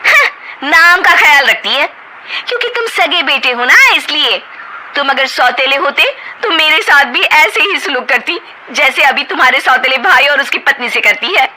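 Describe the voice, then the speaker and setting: A woman doing a shrill, bossy young sister-in-law. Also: animated, normal speed, bright, forceful tone, slight background noise, exaggerated voice, rough recording. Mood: demanding.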